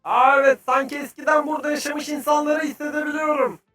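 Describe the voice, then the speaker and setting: A high-voiced little cartoon creature, played by an actor, eager for adventure and friendly. It exclaims excitably in a roomy sound, with loud music behind. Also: fast, recorded from a distance, close to an everyday voice. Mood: inquisitive. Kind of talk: children's educational cartoon acting.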